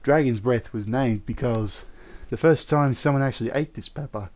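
A man playing a male dragon in human form, an eager, possessive suitor talking softly and haltingly to the listener in a roleplay audio drama. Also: mid-range voice, natural voice. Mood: eager, fond.

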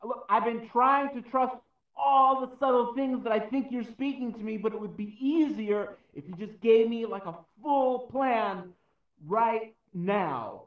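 A man's voice: very high-pitched, a little slow. An actor playing an anxious, pleading, well-meaning everyman who rambles earnestly and hesitantly in a game radio drama. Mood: hesitant, hopeful. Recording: echoey, distant, muffled.

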